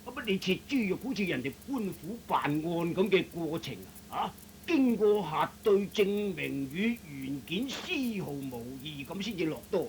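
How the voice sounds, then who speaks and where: A man playing a gruff, impatient middle-aged shop boss with a creaky voice in a Cantonese comic radio play. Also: a little high, big pitch swings, moderate pace. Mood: exasperated. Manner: grumbling, blunt, ordering people about. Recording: noisy old radio recording.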